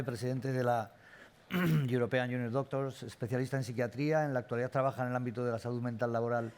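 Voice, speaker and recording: A man's voice: medium pitch, darkish tone. A man with a Spanish accent moderating a conference panel, reading out a formal introduction of the next speaker. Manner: reads a formal introduction, clears his throat.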